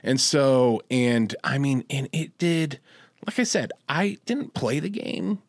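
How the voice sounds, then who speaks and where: A man's voice: medium pitch, expressive, slow, dry recording, clean studio sound. A man co-hosting a podcast, chatting casually and enthusiastically about games and films. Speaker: podcast co-host. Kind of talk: casual chat about video game movies.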